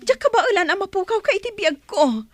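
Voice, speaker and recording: Very high-voiced, wounded young girlfriend. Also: animated, fast. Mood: anguished, reproachful. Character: jilted young girlfriend, wounded, reproachful and pleading. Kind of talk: emotional radio drama acting.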